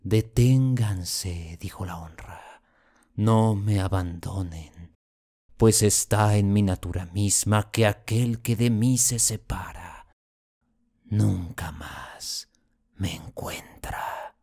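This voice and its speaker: A man playing an embittered, wronged nobleman of Jacobean tragedy, with a Latin American Spanish accent. He speaks fairly low and very slowly, declaiming each phrase with solemn, theatrical deliberation. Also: a little mellow. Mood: hushed, grave. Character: vengeful, anguished Jacobean duke, a wronged brother. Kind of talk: classical theatrical verse monologue.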